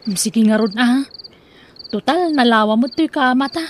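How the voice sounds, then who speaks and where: An actress playing a kind, sympathetic young housemaid in a radio drama, husky, with an Ilocano Filipino accent. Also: female voice, on the high side, moderate pace, a little hiss or hum, close to an everyday voice.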